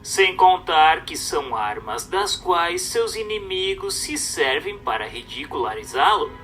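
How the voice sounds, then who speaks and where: A man reading a scripted text aloud as a narrator, in a formal, didactic and expressive manner. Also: a little high, measured pace, distant mic, soft background music.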